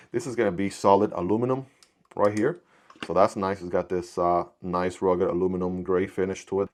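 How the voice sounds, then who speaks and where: A man with a fairly low voice whose first language is Spanish, chatting through a gadget unboxing. He keeps it relaxed and jokey, tossing in little sound-effect words as he shows things off. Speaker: product reviewer. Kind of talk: casual unboxing review.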